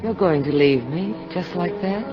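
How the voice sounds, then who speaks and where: A woman's voice: on the low side, moderate pace, over loud music, close to an everyday voice. An American woman acting a cool, scheming wife who plots murder, in controlled 1950s radio drama style. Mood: anxious, intimate. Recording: dull, noisy old radio broadcast.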